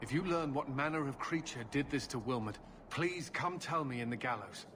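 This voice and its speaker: An actor playing a formal, stern templar officer in a fantasy video game. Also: male voice, mid-range voice, normal speed, fairly bright tone, natural voice. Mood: grave, formal. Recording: game audio with noisy ambience.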